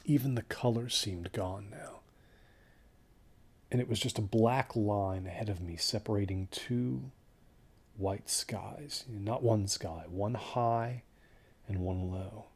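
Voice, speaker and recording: A man acting as a worn-out survivor of a desert ordeal, with a low, husky voice and a mellow, dark tone. He tells his horror story in halting, hushed phrases, as if still haunted. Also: exaggerated voice. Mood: haunted, weary. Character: traumatized, exhausted man recounting a doomed desert trek. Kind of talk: slow first-person horror audio drama narration.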